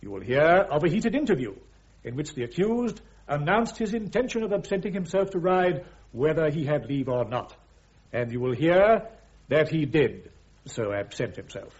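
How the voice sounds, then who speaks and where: Through slightly muffled radio drama audio comes an actor as a British army prosecutor. His male voice moves widely in pitch as he sets out a case with formal, measured precision. Every phrase is deliberate legal oratory. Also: darkish tone, lightly acted. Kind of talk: radio drama courtroom speech. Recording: dull, band-limited radio drama recording.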